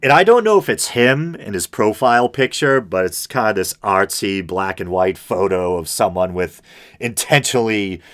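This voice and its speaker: A middle-aged man with a low, droning, semi-gravelly voice hosts his own podcast. He rambles in a self-deprecating way, full of ums and restarts, pitched on the deep side. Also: expressive, normal speed, fairly bright tone. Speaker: podcast host. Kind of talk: casual solo podcast monologue.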